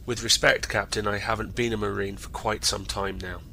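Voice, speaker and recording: A man's voice: fairly low pitch, normal speed, vocal fry, heavily acted. A man playing a disgruntled special-operations lieutenant in a science fiction audio drama, stiffly formal and respectfully defiant. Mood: formal, hesitant. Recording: steady background ambient noise.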